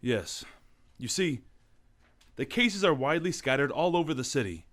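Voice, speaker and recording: An actor playing a calm, thoughtful gentleman detective in a radio drama. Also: a little high. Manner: measured and thoughtful.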